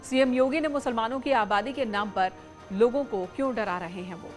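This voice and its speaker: A woman's voice: on the high side, normal speed, over music. A woman news anchor delivering scripted news narration.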